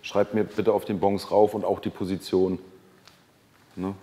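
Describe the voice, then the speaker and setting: Mellow-voiced man briefing his service staff. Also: sits low, little pitch movement, big-room sound. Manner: brisk, practical instructions. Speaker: restaurant service manager. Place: hotel restaurant, indoors.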